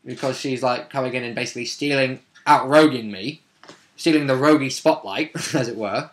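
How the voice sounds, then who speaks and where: A man's voice: mid-range voice, normal speed. Rambling man recounting a game session. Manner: rambling, wordy, with asides and self-corrections. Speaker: vlogger recapping a tabletop roleplaying session. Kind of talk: casual unscripted storytelling recap.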